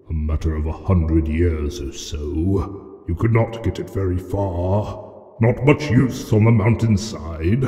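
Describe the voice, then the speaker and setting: In a vast, long reverb, a huge ancient dragon speaks very slowly, with grand menace. He is a boastful, cunning taunter, pitched fairly low, from a fantasy audio drama. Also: darkish tone. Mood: mocking, insinuating. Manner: grandiose, taunting, theatrical delivery. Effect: added cavernous reverb. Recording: cavernous echo and long reverb effect.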